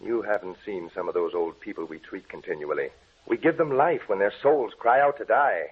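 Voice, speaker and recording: A troubled young research scientist in a vintage radio drama, low-voiced and husky. He speaks in a tense, brooding, earnest way. The sound is thin and tinny, like an old radio broadcast. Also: brisk. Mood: grim. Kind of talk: 1940s radio acting.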